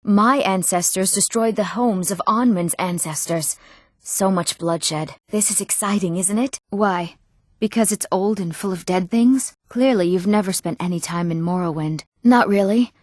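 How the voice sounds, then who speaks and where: A woman's voice: mid-range voice, moderate pace, dry recording, clean studio sound, natural voice. Eager young fantasy townswoman, acted by a woman. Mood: curious. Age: young adult. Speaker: video game voice actor. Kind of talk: scripted fantasy game character dialogue.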